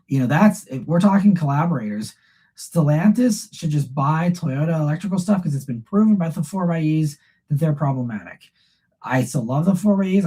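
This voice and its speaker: A man with a cold chats casually on a live stream, and his voice comes out scratchy and husky. His tone is mellow and fairly high for a man. He rambles and thinks aloud as he answers questions. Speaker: host of a live car Q&A stream. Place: room at home with shelves behind him.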